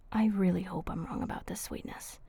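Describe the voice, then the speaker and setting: A woman acts out a flirty female vampire for an ASMR roleplay, speaking in a soft, breathy near-whisper. Her husky delivery is intimate and coaxing, with casual slangy phrasing and a protective streak. The timbre is dark and mellow, with touches of creak. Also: on the low side, no room sound, exaggerated voice.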